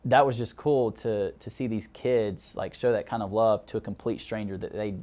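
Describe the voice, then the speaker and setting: Young Southern man, earnest and low. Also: steady tone, normal speed, warm tone. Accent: Southern American. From Alabama, United States. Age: young adult. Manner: earnest, reflective, filler-heavy with "like" and "you know". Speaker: graduate counseling student. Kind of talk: documentary interview storytelling. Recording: music playing behind the voice.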